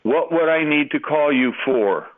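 A man's voice: a little high, lively intonation, unhurried, background noise. A man on a phone call, blunt and questioning with the caller. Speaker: prank call recipient. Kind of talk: phone conversation. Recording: thin phone line, background chatter.

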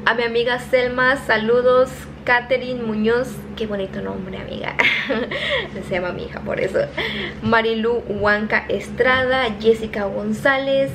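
Young Mexican woman vlogger, slightly high voice, casual and self-laughing, noisy phone audio. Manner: chatty, reading names quickly, laughing at herself. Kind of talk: casual shout-outs to viewers. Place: home kitchen, indoors. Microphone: phone held at arm's length.

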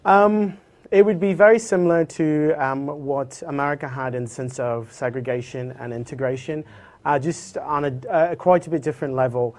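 A young man answering interview questions in a relaxed way on a small studio set, with many ums and uhs. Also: fairly high pitch, normal speed. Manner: relaxed, with frequent uh and um. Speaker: interview guest.

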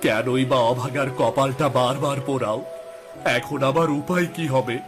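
A man's voice: medium pitch, moderate pace, music in the background, lightly acted. A man voicing a poor, despairing farmer and father in a cartoon folk tale, with a plaintive delivery. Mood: despairing, lamenting. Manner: plaintive, lamenting.

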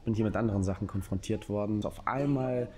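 A man giving reflective personal testimony, searching for his words in a halting way. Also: fairly low pitch, darkish tone. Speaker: interviewee, actor. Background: music.

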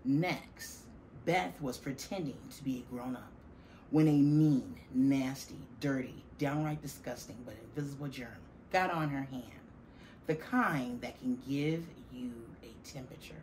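A middle-aged woman reading a children's picture book aloud, deliberately and with dramatic stress on key words. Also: extremely low pitch, darkish tone, low-quality recording. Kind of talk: expressive children's storybook reading. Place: small classroom, indoors. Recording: faint room noise behind the voice.

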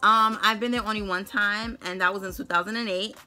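A woman's voice: medium pitch, steady tone, fairly quick, pushed and bright. Playful slangy New woman vlogger. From Brooklyn, New York. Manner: playful, laughs often, slangy. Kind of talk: casual chat to camera. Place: bedroom. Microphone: camera in front of her.

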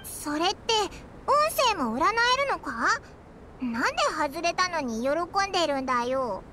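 A woman voicing a tiny childlike fairy companion in an anime game, squeaky, blunt, excitable and quick to retort. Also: background noise. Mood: puzzled. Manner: brash, exclamatory, quick to retort. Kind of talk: Japanese anime game voice acting.

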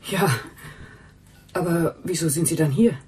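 Chatty, naive housekeeper. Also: female voice, low-pitched, a little slow, warm tone, slightly rough, heavily acted. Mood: chatty, artless. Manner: rambling, simple, self-correcting. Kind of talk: German radio crime drama acting.

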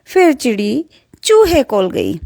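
A woman telling a children's folk tale in an expressive, rhythmic sing-song way. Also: on the high side, slow, gravelly, no room sound, studio quality. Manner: expressive, singsong, repetitive rhythmic delivery. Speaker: storyteller.

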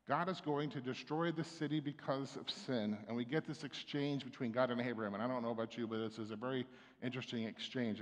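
Middle-aged male pastor in a reverberant church. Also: medium pitch. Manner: conversational preaching with frequent restarts and fillers. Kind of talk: sermon. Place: church sanctuary. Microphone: stand mic at pulpit, far camera.

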